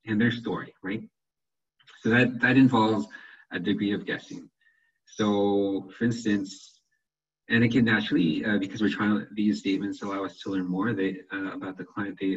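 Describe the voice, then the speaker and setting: Man, soft-spoken, webinar presenter, very low voice, muffled call audio. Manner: hesitant, repeats words, asks "right?". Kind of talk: online lecture on counseling. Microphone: computer microphone on a video call.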